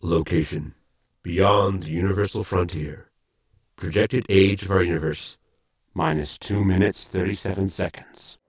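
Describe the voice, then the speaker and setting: An actor playing a formal, dutiful spaceship crewman, polite, precise and calm under fire, in a science fiction comedy audio drama. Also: deep voice, breathy edge, dry recording, full character voice. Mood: measured. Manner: polite, precise, deliberate wording.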